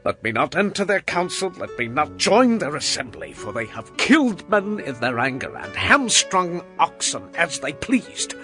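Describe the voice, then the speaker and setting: An aged, dying patriarch in a scripture drama, with a bright voice that swings between hushed gravity and grand declamation. He addresses his sons solemnly over faint music. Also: a little high, sing-song, moderate pace, hardly any pauses. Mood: stern, angry.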